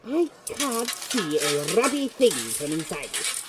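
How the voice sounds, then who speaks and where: A man's voice: fairly high pitch, big pitch swings, takes it slowly, noisy background. A man playing a pompous, gung-ho medieval knight who speaks in mock-archaic style in a comic audio drama. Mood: pompous bluster.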